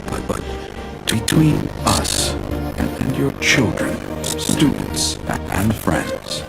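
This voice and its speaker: A deep, very creaky old man, an adventurer at the end of his life. He speaks in solemn, resolute game-monologue style, wistful and deliberate, over loud music. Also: huge pitch swings, exaggerated voice. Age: older. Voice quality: gravelly. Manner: solemn, deliberate dramatic delivery. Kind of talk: dramatic video game monologue. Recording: music behind the voice.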